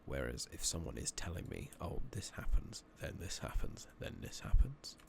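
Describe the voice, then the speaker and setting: A British man giving soft-spoken, partly whispered ASMR commentary on a video game, muttering casually to himself on a close microphone. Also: low voice, sing-song, gravelly. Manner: casual, unhurried, muttering to himself, mild swearing. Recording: noisy mic with keyboard clicks.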